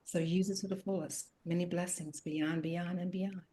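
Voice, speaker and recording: A woman's voice: on the low side, fairly even intonation, moderate pace, warm tone. A woman guest on a video call speaking earnestly and encouragingly, ending with blessings. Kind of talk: heartfelt testimony on a video call.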